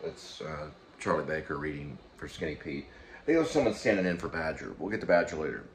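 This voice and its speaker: A man's voice: a bass voice, a little fast. A man giving casual solo commentary in a rambling, hesitant way, often circling back and repeating himself. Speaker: YouTube show host and amateur writer. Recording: steady background room noise.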